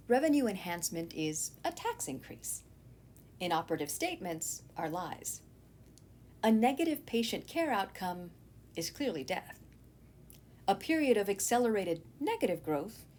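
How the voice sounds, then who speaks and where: A woman's voice: medium pitch, darkish tone, a little hiss or hum. A woman teacher reading a scripted educational narration, explaining things and speaking directly to her students.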